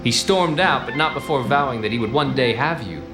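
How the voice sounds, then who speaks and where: An actor playing a wisecracking, hard-boiled 1940s detective with clipped, gruff, deadpan delivery. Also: male voice, mid-range voice, brisk, roomy sound, music in the background, natural voice. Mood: commanding. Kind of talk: 1940s-style radio play acting.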